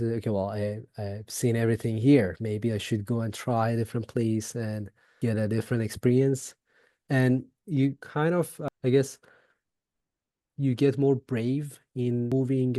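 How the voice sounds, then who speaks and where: Soft-spoken Iranian-born man, dry close sound. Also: mid-range voice, no echo. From Iran. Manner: hesitant, many fillers and restarts. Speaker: guest. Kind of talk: casual interview chat.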